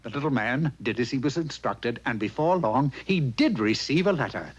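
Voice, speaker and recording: A man's voice: mid-range voice, lively intonation, moderate pace, slightly rough. A man narrating a scripted fairy-tale parody as a theatrical storyteller. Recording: dull, faintly noisy recording.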